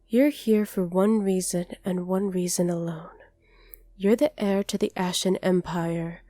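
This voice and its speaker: A woman voicing a haughty, possessive dragoness captor in an ASMR roleplay, speaking to the listener in a condescending, deliberate way. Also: medium pitch, warm tone, no echo, natural voice. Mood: smug, commanding.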